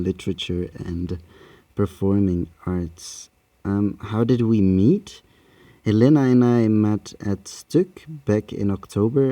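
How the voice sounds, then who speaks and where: A man's voice: fairly low pitch, dark tone, no echo. A young adult man giving prepared but hesitant interview answers as a podcast maker.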